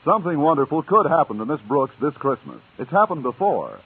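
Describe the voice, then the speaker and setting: A man's voice: fairly high pitch, sing-song, a little fast, heavy background noise. An American man reading a scripted mid-century radio advertisement with a polished, emphatic sales delivery. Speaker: radio commercial announcer. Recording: tinny, muffled old radio recording.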